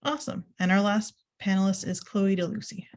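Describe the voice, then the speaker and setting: A woman moderating a panel discussion. She has a middle-pitched voice. She greets each guest with upbeat acknowledgements. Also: little pitch movement, darkish tone. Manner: upbeat, quick spoken acknowledgements between guests.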